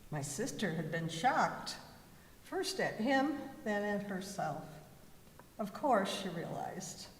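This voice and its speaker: A woman reads a story slowly in readers theater style, with lively swings in pitch. She is heard from far off in a reverberant hall. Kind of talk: scripted storytelling narration. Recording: distant echoey hall, faint noise.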